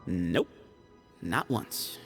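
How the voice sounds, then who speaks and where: Breezy, sly young gravel-edged schemer. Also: male voice, sits low, big pitch swings, drawn-out words, full character voice. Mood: nonchalant, coy. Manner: breezy, teasing, nonchalant. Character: sly, confident young man of a secretive desert temple. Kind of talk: video game voice acting. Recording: background music behind the voice.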